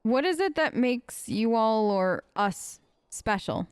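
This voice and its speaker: A woman's voice: a little high, lively intonation, takes it slowly, smooth, dry recording. A woman hosting a gaming video, casually role-playing her character's side of the dialogue in a chatty, conversational way.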